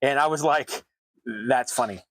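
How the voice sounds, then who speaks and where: A man's voice: medium pitch, normal speed, a bit bright, dry recording. An American man chatting casually to his stream audience while building tabletop terrain, rambling and self-deprecating. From United States. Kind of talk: casual chat while crafting. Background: sounds of cutting at a worktable.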